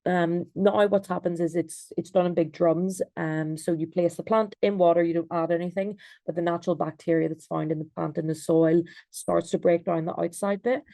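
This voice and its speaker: Gravelly, flat-toned Irish woman presenting. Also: fairly low pitch, moderate pace, dry recording. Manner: hesitant, many fillers and restarts. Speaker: designer and researcher. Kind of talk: presentation over video call. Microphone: video call.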